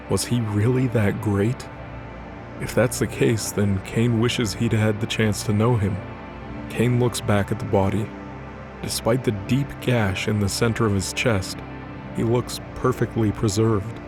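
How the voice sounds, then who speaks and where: A man with a somewhat deep voice narrates scripted fiction. He serves as storyteller for a dramatic audio production, with music playing clearly behind him.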